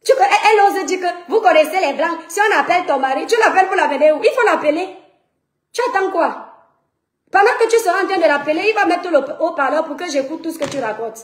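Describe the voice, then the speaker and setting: A woman's voice: very high voice, animated, quick pace, roomy sound. A woman from Cameroon with a Cameroonian French accent, mocking and confronting her critics in a heated rant to her followers. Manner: mocking, confrontational, rhetorical questions. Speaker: social media personality. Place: France.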